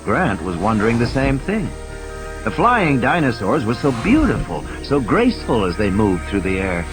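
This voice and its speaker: A man's voice: mid-range voice, huge pitch swings, normal speed, rough recording. A man giving a dramatic scripted narration of a story as an audiobook narrator. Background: music and sound effects.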